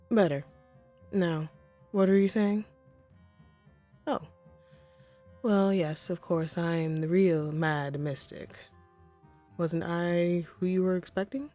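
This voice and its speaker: Woman doing a haughty lady supervillain for audio roleplay, soft mellow voice, wry and poised, bit of music behind. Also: lowish voice. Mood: imperious. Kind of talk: scripted one-sided audio roleplay.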